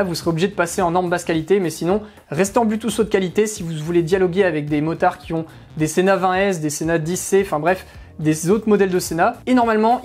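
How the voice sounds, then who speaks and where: A woman's voice: medium pitch, a little mellow. A woman narrating a product review in an informal, chatty, explanatory way. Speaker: motorcycle gear reviewer.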